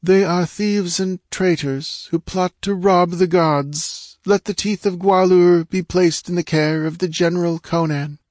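A man's voice: high-pitched, steady tone, measured pace, few pauses, darkish tone, dry recording, studio quality, voice pushed up. A man voicing a young woman who poses as a goddess oracle in a fantasy audiobook, detached, impersonal and commanding. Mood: cold, imperious.

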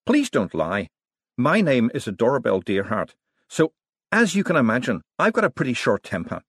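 A man's voice: mid-range voice, wildly swooping pitch, a bit bright, husky, no echo, clean studio sound, full character voice. A man voicing a blunt, determined, short-tempered woman in an audiobook. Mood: impatient, assertive. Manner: blunt, brisk, assertive.

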